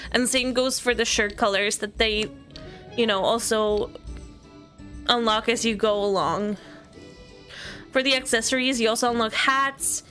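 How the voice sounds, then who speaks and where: A woman's voice: on the high side, fairly bright tone. A woman giving a casual walkthrough commentary of a video game. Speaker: gaming video presenter.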